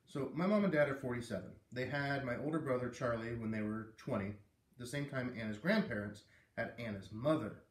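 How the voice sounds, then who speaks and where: A man's voice: mid-range voice, brisk, lightly acted. A man voicing a hurt, defensive young schoolgirl in a storybook read-aloud, plaintive and blurting as she confides. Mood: confiding. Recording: roomy echo, distant microphone.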